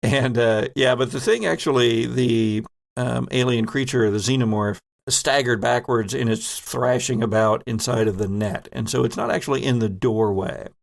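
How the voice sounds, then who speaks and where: A man narrating an improvised tabletop game as game master, building descriptions in halting, pieced-together phrases. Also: medium pitch, vocal fry.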